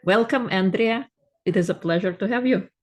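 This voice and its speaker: A woman's voice: medium pitch, normal speed, a little mellow. A woman hosting a podcast, reading a prepared guest introduction and then asking questions with hesitant repeats. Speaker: podcast host. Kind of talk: scripted guest introduction and interviewing.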